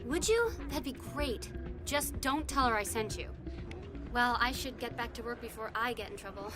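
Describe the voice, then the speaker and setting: A woman's voice: fairly high pitch, lively intonation, moderate pace, over music, natural voice. An actress playing a worried, apologetic young working woman in a video game, hesitant and confiding. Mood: confiding, anxious.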